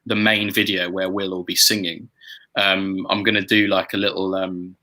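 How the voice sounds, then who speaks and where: A man in a casual chat about making music, talking haltingly and often repeating words as he goes. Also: sits low, level tone, bright, forceful tone, low-quality recording. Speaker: musician and song arranger hosting a group chat.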